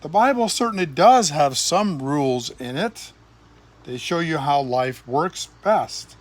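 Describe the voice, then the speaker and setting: Older man, slow and expressive storyteller. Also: fairly high pitch. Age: older, grandfather. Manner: deliberate phrasing with emphatic pauses. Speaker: grandfather reading a children's Bible storybook aloud. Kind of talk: expressive read-aloud storytelling for young children.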